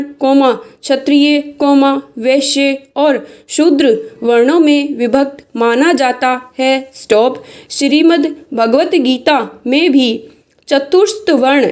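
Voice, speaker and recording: A woman reading a scripted text aloud as a dictation instructor, measured and deliberate in her delivery. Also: high-pitched. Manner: measured, deliberate, speaks punctuation marks aloud.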